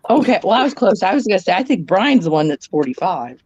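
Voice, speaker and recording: A woman's voice: medium pitch, sing-song, fast talker, darkish tone, breathy edge, lo-fi sound. A woman in her forties laughing and a bit flustered on a group video call. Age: 40s. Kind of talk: casual chat.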